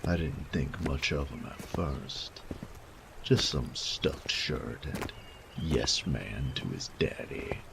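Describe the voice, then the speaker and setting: A man playing a sadistic, teasing bandit in an audio roleplay, with a gravelly voice and a taunting, coarse drawl. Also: expressive, slow pace, background noise, heavily acted. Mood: amused, scornful. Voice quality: gravelly, creaky low voice. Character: sadistic bandit rogue, menacing, teasing, mocking, flirtatious. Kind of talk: roleplay audio drama acting.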